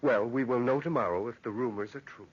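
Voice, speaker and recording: An actor in old-time radio drama playing a fussy, suspicious old magistrate who hems and haws pompously. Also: male voice, mid-range voice, fast, slightly rough, natural voice. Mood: puzzled, impatient. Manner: hemming and hawing, pompous. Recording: dull, lo-fi old radio recording.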